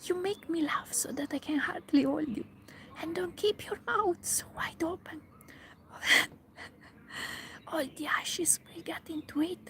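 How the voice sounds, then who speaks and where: A woman's voice: extremely high pitch, slow, long pauses, fairly bright tone, full character voice. A woman whispering the lines of a curious, polite young girl in an ASMR storybook reading. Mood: amused.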